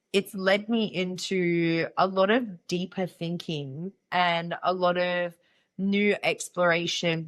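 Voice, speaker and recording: A woman's voice: fairly low pitch, fairly bright tone. A young woman hosting a casual solo podcast, with a nasal voice from a cold, talking in a candid, playful and chatty way. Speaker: podcast host.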